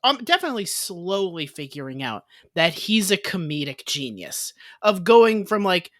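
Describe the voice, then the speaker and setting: A woman's voice: on the low side, moderate pace, dry recording, studio quality. A woman co-hosting a podcast, chatting casually about an actor and mimicking other people's reactions. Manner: expressive, mimics people mid-sentence. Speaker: podcast co-host. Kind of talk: casual chat.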